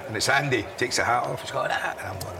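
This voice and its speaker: A man's voice: medium pitch, fast, gravelly. A man in his sixties, an interview guest, speaking candidly and earnestly, often repeating words as he gathers his thoughts. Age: 60s. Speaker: interview guest, former broadcaster. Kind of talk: candid storytelling. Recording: outdoor location, audible city noise.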